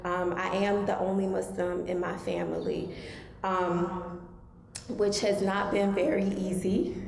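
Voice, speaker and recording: Woman from maryland telling her story, lots of ums, kinda gravelly, roomy hall sound. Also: fairly low pitch. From Maryland, USA. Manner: soft-spoken, hesitant, many ums. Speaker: guest speaker. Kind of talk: personal testimony talk. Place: mosque hall. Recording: echoey hall, faint hum.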